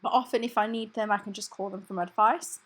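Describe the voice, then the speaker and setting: A young woman, a junior hospital doctor, talking casually to camera in a vlog. Her voice is croaky from a cough and she sounds tired, with many ums. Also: medium pitch, steady tone, a little fast, fairly bright tone. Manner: chatty, tired, filler-heavy.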